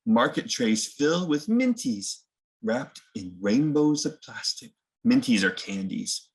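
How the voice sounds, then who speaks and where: A man's voice: mid-range voice, big pitch swings, takes it slowly. A man hosting a children's picture book read-aloud, mixing story narration with casual explanatory asides.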